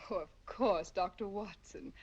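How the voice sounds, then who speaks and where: An actress in a 1940s film playing a composed woman who speaks in a soft, polite, measured way while posing as a visitor. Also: mid-range voice, lively intonation, husky, lo-fi sound.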